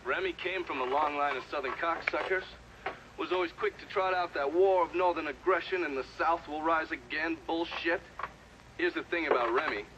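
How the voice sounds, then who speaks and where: Muffled noisy tape, male actor as defiant man testifying, higher-pitched, sardonic, profane, game cutscene. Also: normal speed, lightly acted. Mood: scornful. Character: defiant, foul-mouthed man giving scornful testimony. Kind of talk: documentary-style game testimony. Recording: dull, noisy vintage-style recording.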